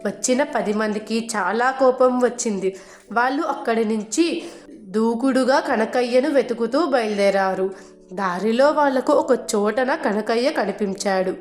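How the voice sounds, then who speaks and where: A woman's voice: on the high side, a little slow, a little mellow. A woman narrating a scripted moral folk tale as a storyteller. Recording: roomy echo, music underneath.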